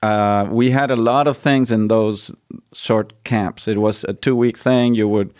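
A man's voice: fairly low pitch, steady tone, a little slow, darkish tone. A man from Argentina, a priest hosting a podcast interview, telling stories in a chatty way with many fillers and restarts. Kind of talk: casual interview.

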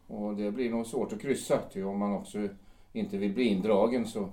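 Reserved middle-aged friend, played by a man, low and dark, measured, in an echoey room. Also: fairly even intonation, natural voice. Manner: quiet, measured, dry replies. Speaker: actor in an audio drama.

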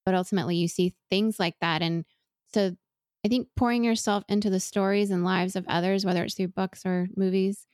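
A woman's voice: mid-range voice, fairly even intonation, brisk, a little mellow, no echo, studio quality. A woman in her forties who grew up in Venezuela, talking earnestly and thoughtfully as an interview guest, often restarting her sentences. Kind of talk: reflective interview conversation.

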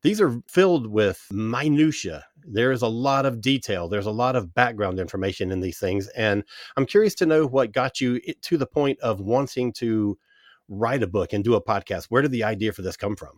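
A man hosting a music podcast, introducing his co-hosts and guest and asking questions in a friendly, measured way. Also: lowish voice, no room sound, clean studio sound. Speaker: podcast host.

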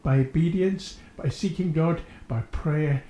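Mellow male host in an echoing room. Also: on the high side, measured pace, a little hiss or hum. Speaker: host of a religious radio programme. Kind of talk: reflective faith discussion. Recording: roomy, echoing sound.

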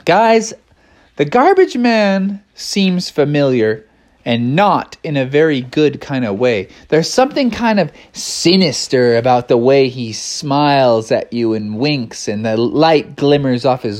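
A woman's voice: very deep voice, expressive, a little slow, darkish tone, pitched below the performer's own voice. A woman voicing a tough, suspicious heroic bear who protects his friends in a children's story, defiant and folksy.

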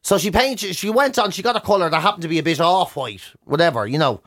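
A man's voice: fairly high pitch, fast, dry recording. A man with a Dublin accent, a radio host telling stories in casual, exasperated comic banter, close on a studio microphone. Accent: Dublin Irish. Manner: animated, exasperated comic ranting.

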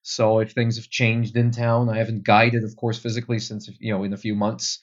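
Flemish man, Dutch native, deeper voice, measured and filler-heavy, dull recording. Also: completely flat delivery. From Belgium. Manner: thoughtful, many um fillers. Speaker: tour guide guest. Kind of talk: casual interview answers.

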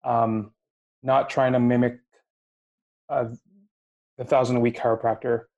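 A man's voice: medium pitch, little pitch movement, measured pace, darkish tone, dull audio. A man in his late twenties with a slight Filipino accent, hosting a podcast and talking casually, often searching for his words. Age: late 20s. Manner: searching for words, many fillers and restarts. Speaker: podcast host. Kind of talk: casual interview chat.

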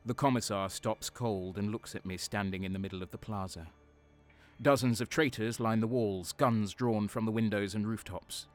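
A man playing a cold, sadistic soldier who narrates a dark war story with dramatic deliberation. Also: lowish voice, steady tone, normal speed, faint music behind, lightly acted. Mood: grim, detached. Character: cold-hearted, sadistic young male soldier turned traitor. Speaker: narrator and lead character.

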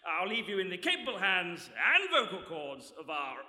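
An actor playing a bumbling, nervously jovial ship's captain in a live comedy radio play, stammering through formal announcements. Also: male voice, sits high, wildly swooping pitch, moderate pace, pushed and bright, exaggerated voice. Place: stage in a hall before a live audience. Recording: echoey hall, live stage recording.

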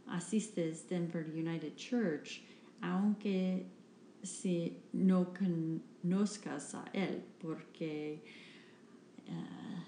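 Very slow, halting woman in an echoey room. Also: on the low side, dark tone. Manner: halting, hesitant delivery with restarts. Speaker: podcast host and interpreter. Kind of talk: reading a Spanish interpretation of a church talk. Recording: echoey room with faint background noise.

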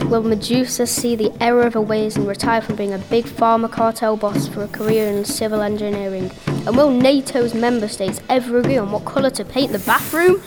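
A female narrator reading a dramatic scripted cliffhanger outro for a comic radio play. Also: a little high. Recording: loud music over the voice. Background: loud music.

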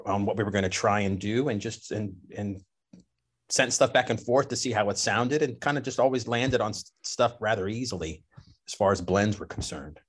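A man's voice: fairly low pitch, breathy edge. A man being interviewed as a guest musician, explaining things in an easygoing way, stringing his sentences loosely together. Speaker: guest singer and drummer. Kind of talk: casual interview chat.

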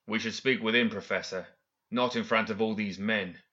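A man's voice: fairly low pitch, fairly even intonation, moderate pace, sharp tone, highs cut off. A man voicing a stern, frank and defiant man in an audiobook, terse and guarded in delivery. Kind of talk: audiobook character acting.

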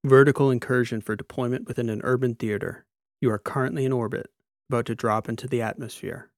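A man's voice: mid-range voice, fairly even intonation, quick pace, no echo, clean studio sound, natural voice. A man voicing a commanding, demanding military examiner in an audiobook, with barked, clipped orders. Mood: brisk, commanding. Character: commanding one-eyed male military examiner, demanding, intense.

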